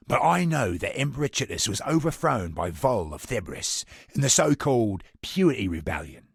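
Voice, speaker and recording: An actor playing a roguish, wry smuggler in a fantasy game, with a gravelly, raspy voice and sardonic speech. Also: male voice, lowish voice, a bit bright, no echo, studio quality, heavily acted. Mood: sly, conspiratorial. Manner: colloquial working-class speech, sly and sardonic. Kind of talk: in-game character dialogue.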